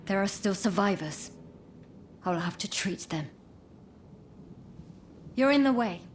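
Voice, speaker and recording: A woman's voice: mid-range voice, expressive, slow pace. An actress playing a stern, indignant woman healer of feudal Japan, reproachful and righteous, in a video game cutscene. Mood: grave, reproachful.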